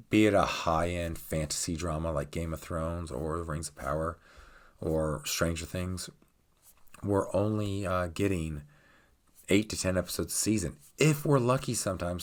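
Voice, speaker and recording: A middle-aged man hosting his channel, still raspy from a cold, rambling through a casual rant with lots of ums and uhs. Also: low voice. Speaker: channel host.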